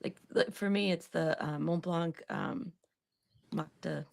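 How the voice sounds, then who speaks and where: A woman's voice: sits low, slow, creaky. A woman guest on a casual livestream chat over a video call, playful and teasing.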